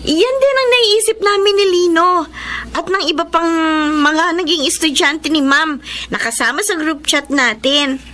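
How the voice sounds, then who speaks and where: High, bright young woman in radio drama. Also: expressive, measured pace, exaggerated voice. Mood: chummy. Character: warm, devoted young blind female former student. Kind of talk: Filipino radio drama acting.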